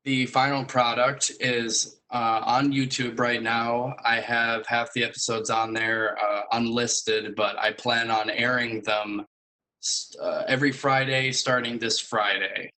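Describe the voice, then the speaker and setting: A young man giving a semi-formal presentation about his student project, speaking in a hesitant, measured way. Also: mid-range voice, little pitch movement, pushed and bright, poor audio. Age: young adult.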